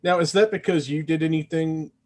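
A man's voice: fairly high pitch, steady tone, brisk, a little mellow. A man in casual conversation with a teasing manner. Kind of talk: casual chat.

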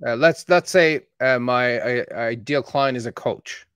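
A man's voice: medium pitch, measured pace, clear, resonant tone, no echo. Matter-of-fact man, dry close sound. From born in Iran, raised in Canada. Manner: steady, matter-of-fact storytelling. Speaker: marketing agency owner. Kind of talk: casual conversation.

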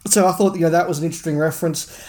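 A man's voice: a little high, steady tone, very fast, a little mellow. Male podcast co-host, conversational and musing, informal news-chat delivery. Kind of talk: casual discussion of Doctor Who fandom news.

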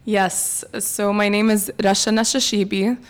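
A woman from California talking as a podcast guest in a casual interview, soft-spoken and using many fillers. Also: mid-range voice, little pitch movement, measured pace, clean tone. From United States, California.

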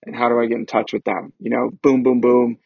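Male promo voice, mid pitch, casual and energetic, dull dry recording. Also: fairly quick, vocal fry, no echo. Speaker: show promoter. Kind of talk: promotional announcement.

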